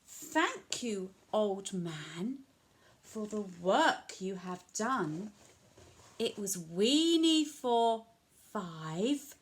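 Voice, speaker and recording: A woman's voice: medium pitch, very animated, drawn-out words. A woman voicing a cheerful, house-proud little old lady in a children's storybook reading. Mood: delighted.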